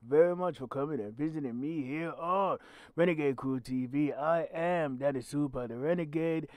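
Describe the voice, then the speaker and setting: A man's voice: fairly high pitch, moderate pace, a little mellow, no echo. A man with a growly voice, hosting his own channel and giving blunt, emphatic commentary with swearing. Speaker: channel host. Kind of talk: solo commentary podcast.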